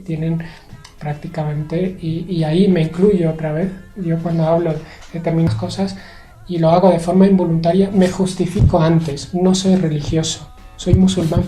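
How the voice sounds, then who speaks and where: A young man from Spain, a guest in a conversational interview, speaking in a measured, hesitant way with many pauses and fillers. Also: fairly high pitch, dark tone. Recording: echoey room.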